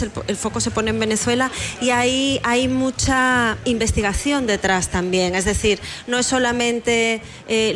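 A woman's voice: on the high side, a bit bright. A woman from Spain, a novelist being interviewed, talking reflectively and emphatically and repeating words for stress. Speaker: novelist guest. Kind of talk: conversational interview.

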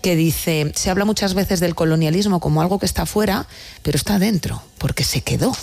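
Low-voiced Spanish theatre maker, woman. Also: a little fast, vocal fry, darkish tone. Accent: Spain. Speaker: theatre maker, interview guest. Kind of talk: conversational interview answers.

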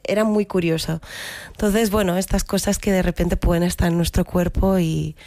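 A woman's voice: on the low side, steady tone, moderate pace, warm tone, dry recording, clean studio sound. A woman with an accent from Spain, an actress talking reflectively and conversationally as an interview guest. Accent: Spanish from Spain. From Barcelona, Spain.

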